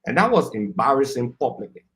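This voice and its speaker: A man's voice: medium pitch, big pitch swings, fairly quick, a bit bright. Blunt, critical Liberian man. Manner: blunt and critical, speaking with firm conviction. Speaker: panel guest. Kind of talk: political talk show discussion.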